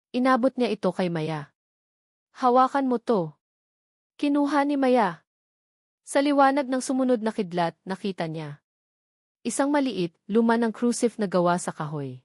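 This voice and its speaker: A woman's voice: fairly high pitch, lively intonation, normal speed, darkish tone, no echo, clean studio sound. A woman narrating a romance story as a dramatic, scripted audiobook.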